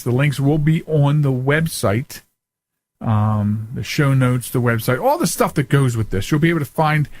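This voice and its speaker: A man's voice: medium pitch, no room sound. A man hosting a radio show in a casual, rambling, conversational way. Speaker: radio show and podcast host.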